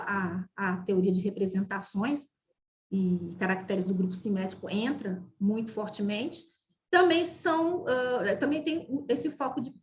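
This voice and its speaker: Brazilian woman lecturing, halting and fairly high. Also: a little hiss or hum. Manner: hesitant, many fillers and restarts. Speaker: mathematics lecturer. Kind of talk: online academic lecture. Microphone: video call microphone.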